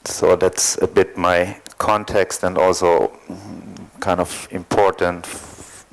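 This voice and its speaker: A man from East Germany, speaking English, telling his story as a panel guest with many pauses and fillers. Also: deep voice, level tone, gravelly, low-quality recording. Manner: hesitant, thoughtful, many fillers. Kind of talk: panel discussion, personal account.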